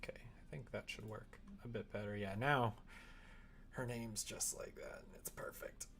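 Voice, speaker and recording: Male streamer, mid-pitched, casual and rambling tutorial talk, noticeable background noise with keyboard typing. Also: steady tone, moderate pace, a bit bright. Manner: rambling, thinking aloud to himself and viewers. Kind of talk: casual livestream chat and tutorial while working.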